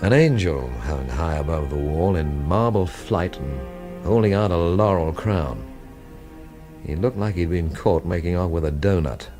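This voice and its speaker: An actor playing a cynical English investigator who narrates his own story in a deadpan, sardonic radio drama style. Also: sits low, measured pace, music in the background. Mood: brooding, wry.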